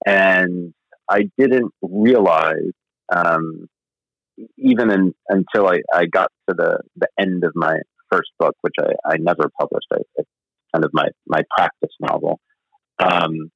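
A man's voice: low voice, expressive, a little slow, gravelly, a bit bright, no room sound. A man who is a novelist, talking in a casual interview in a rambling, hesitant, self-deprecating way. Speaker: novelist guest. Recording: slightly muffled dry sound.

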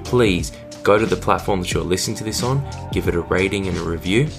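Raspy sick guy hosting a podcast intro, really low voice, music playing under him. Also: a little fast. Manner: upbeat, addresses listeners directly. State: hoarse from a cold. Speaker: podcast host. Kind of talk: scripted show intro.

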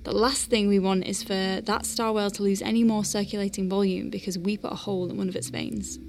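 A woman's voice: medium pitch, flat delivery, a little fast, a little mellow, soft background music, lightly acted. A woman playing an anxious but wry medic piloting a craft in a science fiction audio drama, thinking aloud in technical terms. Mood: focused, thoughtful.

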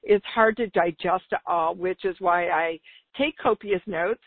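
A woman with a slightly raspy voice, talking informally and enthusiastically as the solo host of a business podcast. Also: fairly low pitch, measured pace, dry recording. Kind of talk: upbeat solo podcast talk. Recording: dull lo-fi radio-like sound.

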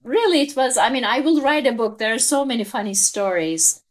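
A woman's voice: on the high side, animated, brisk. A woman in her fifties from Turkey with a Turkish accent, a guest in an interview telling her career story reflectively, with frequent small laughs.

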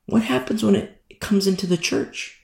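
A man preaching a sermon in an animated, repetitive style, acting out the people he criticizes. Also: high-pitched, normal speed, vocal fry. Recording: echoey room sound.

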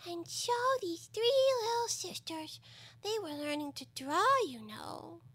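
Hoarse, squeaky, sleepy dormouse. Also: female voice, very animated, no room sound, exaggerated voice. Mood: drowsy storytelling. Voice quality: hoarse, feeble, high-pitched. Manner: slow, drawling, drowsy. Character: sleepy, sulky, feeble storybook dormouse, easily offended.

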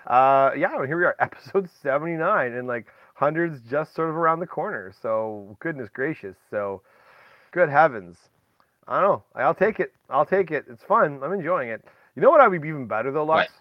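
A man's voice: fairly high pitch, expressive, normal speed, dry recording, muffled recording. A man hosting a podcast in casual, rambling chat, talking directly to listeners with folksy exclamations. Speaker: podcast host.

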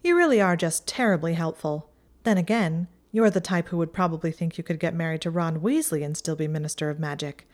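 A woman's voice: mid-range voice, lively intonation, moderate pace, voice pushed up, lightly acted. A woman voicing a haughty, mocking young witch who talks down to others in a condescending tone. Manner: condescending, sneering.

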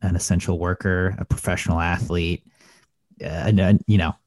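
A man's voice: low voice, steady tone, normal speed, a little mellow, dry recording, clean studio sound. A man hosting a podcast interview, asking long, rambling questions full of fillers and restarts. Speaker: podcast host. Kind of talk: casual interview conversation.

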